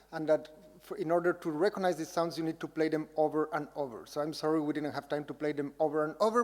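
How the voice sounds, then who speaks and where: Guy with a highish voice doing an informal talk to an audience, big echoey noisy hall. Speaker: lecturer. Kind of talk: public lecture with audience participation. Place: large lecture hall, before an audience. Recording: reverberant hall with loud background noise.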